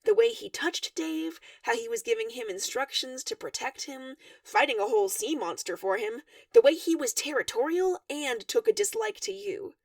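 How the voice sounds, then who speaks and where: A woman reading a fan-written story aloud in an expressive narrating style. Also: high-pitched, moderate pace, hardly any pauses, dry recording, recorded from a distance. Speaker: fan fiction reader.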